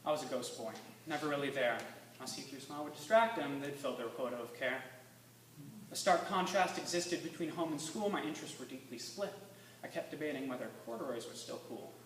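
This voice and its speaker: A teenage boy reciting slam poetry dramatically, intense and emphatic, moving between hushed lines and forceful ones. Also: on the high side. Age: teens. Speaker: student slam poet. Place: live stage in a room with an audience. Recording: echoey room, distant mic, faint noise.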